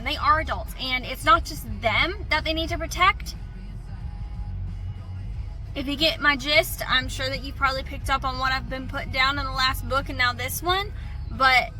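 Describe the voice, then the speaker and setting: A woman's voice: high voice, sharp tone, poor audio. Chatty woman over background music. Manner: rambling, candid, many restarts. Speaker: book vlogger. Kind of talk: casual commentary on a novel.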